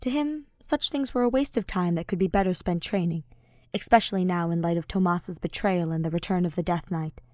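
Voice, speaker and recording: A woman's voice: fairly low pitch, a little fast, darkish tone, no echo, clean studio sound. A woman reading scripted story narration as an audiobook narrator.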